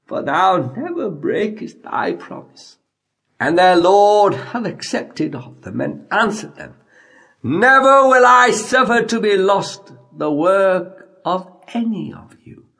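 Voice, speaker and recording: A man reading scripture as an audiobook narrator in a solemn, declamatory style, in measured phrases broken by frequent pauses. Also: high-pitched, very animated, fairly bright tone.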